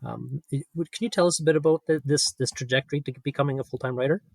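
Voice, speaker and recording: A man hosting a podcast interview, asking questions casually in halting, tentative phrases. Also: medium pitch, vocal fry, darkish tone, no room sound.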